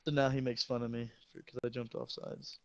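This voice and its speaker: A teenage man, a high school football player, casually telling crude, joking, rambling stories to a friend. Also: level tone, fairly quick, gravelly, highs cut off.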